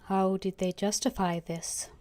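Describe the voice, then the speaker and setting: A woman streaming a video game, quietly chatting and reading her character's lines. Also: medium pitch, level tone, a little slow. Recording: loud background game noise.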